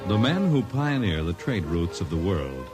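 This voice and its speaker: A grand American announcer of science-fiction tales in vintage radio style. His voice is low, with sweeping, lively pitch, and portentous in delivery. Loud music plays behind him. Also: normal speed. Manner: dramatic, portentous. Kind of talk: 1950s radio announcing, scripted narration.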